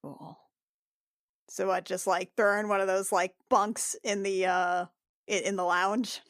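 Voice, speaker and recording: A woman speaks at a middling pitch in a dry, close recording. She is a player in a tabletop game, talking casually and bantering about the action. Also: level tone, measured pace, fairly bright tone, no room sound. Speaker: player in a tabletop roleplaying podcast.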